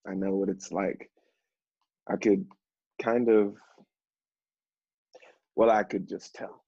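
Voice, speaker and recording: An actor playing an earnest, kindly, gently hesitant man working as a literary assistant in a stage play. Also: low-pitched, little pitch movement, slow, gravelly, dry recording, highs cut off, full character voice. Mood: gentle, awkward.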